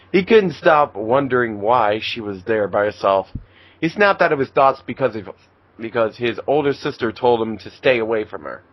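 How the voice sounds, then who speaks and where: A man with a medium-pitched voice narrates fan fiction as an amateur host. He reads informally, slipping in asides and stumbles. The recording is a bit dull. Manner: casual asides and stumbles while reading.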